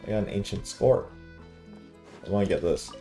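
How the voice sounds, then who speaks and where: A man's voice: lowish voice, normal speed, dark tone, faint music behind. A man giving casual commentary while he plays through a video game. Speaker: gaming streamer. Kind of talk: casual game playthrough commentary, reading dialogue aloud.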